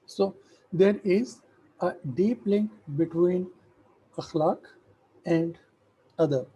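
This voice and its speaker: A middle-aged man, an Urdu speaker, giving an academic lecture in a slow, measured way with hesitant fillers. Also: sits high, dark tone, highs cut off, slight background noise.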